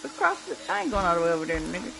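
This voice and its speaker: A woman's voice: lowish voice, sing-song, racing, creaky. An American woman chatting casually and profanely as she plays a video game, exclaiming in slang. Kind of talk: casual gaming chat. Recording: loud music behind the voice. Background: loud music and game sound.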